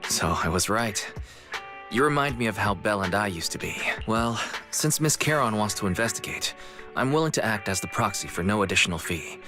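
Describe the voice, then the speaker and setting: A man plays a young male guide in a video game, speaking in a fairly low voice. He is easygoing, reassuring and considerate, with music clearly playing behind him. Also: lively intonation, brisk, close to an everyday voice. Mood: calm, reassuring. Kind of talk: scripted video game dialogue.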